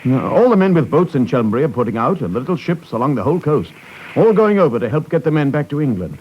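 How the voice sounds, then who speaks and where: An actor playing a gentle, reclusive artist in a 1950s radio play, speaking haltingly and earnestly. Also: male voice, fairly high pitch, big pitch swings, slightly rough. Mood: grave, urgent. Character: gentle, reclusive crippled artist setting off to war. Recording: dull vintage 1950s radio recording.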